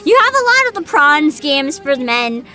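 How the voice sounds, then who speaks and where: A woman chatting casually as a livestream host, excitable and giggly, with dramatic emphasis. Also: high voice, normal speed, faint music behind.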